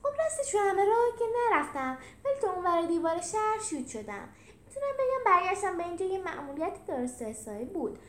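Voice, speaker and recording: A woman voicing a cheerful, dim male animal with an excitable, happy-go-lucky manner in a storybook reading. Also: very high-pitched, voice pushed up, full character voice. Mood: excited, happy. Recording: roomy echo with some background noise.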